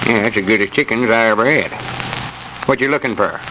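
A man's voice: on the low side, brisk, lightly acted. A man as a folksy country man on noisy old radio. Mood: contented. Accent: rural Southern American. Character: folksy, self-important country man, slightly grumpy. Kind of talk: 1930s radio comedy acting. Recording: muffled, noisy 1930s radio recording.

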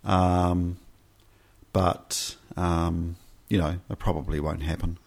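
A man from New Zealand with a Kiwi accent, a documentary maker talking as a guest in a casual chat, measured and reflective. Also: low-pitched, fairly even intonation, gravelly.

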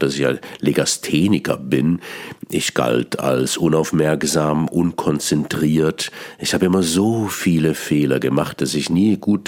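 A man from Bern with a Swiss German accent, hosting a musing radio talk on language with small restarts and pauses. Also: extremely low pitch. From Switzerland (Bern). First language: Bernese Swiss German. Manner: musing, digressive, with restarts and ähs. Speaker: radio host, satirist. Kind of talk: spoken philosophical radio column.

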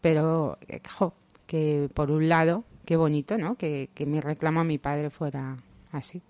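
Young spanish woman being interviewed, thoughtful and open, lots of little pauses, dry close sound. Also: sits low, fairly even intonation, mellow, no echo. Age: young adult. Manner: reflective and open, pauses often with hesitations. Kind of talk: personal memories in casual conversation.

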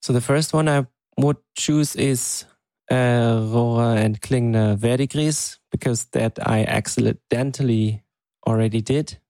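A man's voice: medium pitch, a little slow, mellow, no room sound. A man co-hosting a podcast in casual conversation, with hesitant, thoughtful phrasing. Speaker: podcast co-host. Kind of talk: casual chat.

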